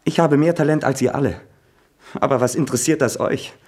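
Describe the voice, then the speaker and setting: A jealous young writer son, very husky lowish voice, whispery then shouting. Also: male voice, animated, normal speed, heavily acted. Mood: ranting. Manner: brooding whispers flaring into shouting. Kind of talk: stage drama.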